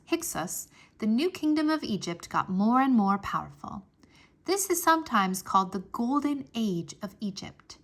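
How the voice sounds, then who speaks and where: A woman reading a history book aloud for children in an expressive, deliberate storytelling manner. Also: a little high. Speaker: read-aloud narrator.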